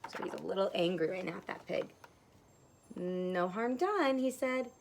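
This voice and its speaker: A woman's voice: mid-range voice, expressive, quick pace, gravelly, darkish tone. A woman teacher reading a children's story aloud, narrating expressively with plain explanatory asides.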